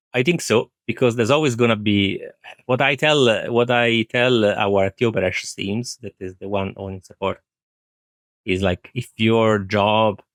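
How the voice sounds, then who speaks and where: A man's voice: on the low side, fairly bright tone, dry recording. A man answering interview questions as an IT director, explaining his views earnestly and repeating phrases for emphasis. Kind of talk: thoughtful conversational interview answers.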